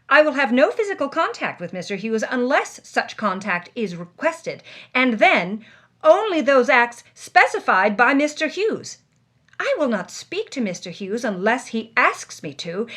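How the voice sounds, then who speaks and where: A woman's voice: sits high, fairly bright tone, full character voice. An actress playing a hard-bitten, world-weary woman reading her journal with wry, dramatic emphasis, as first-person audio drama narration.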